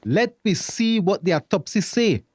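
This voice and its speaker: A man's voice: high voice, animated, moderate pace, a little mellow, slightly rough, no room sound. A man playing an anxious father and police officer in a radio drama, speaking Tobagonian dialect in an agitated, repetitive way. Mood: uneasy, agitated. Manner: agitated, repeats words.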